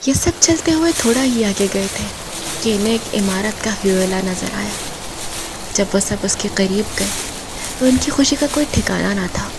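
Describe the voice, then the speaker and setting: A woman's voice: medium pitch, animated, moderate pace, noisy background. A woman giving a theatrical, suspenseful narration of a horror audio drama. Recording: loud music over the voice. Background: loud background music.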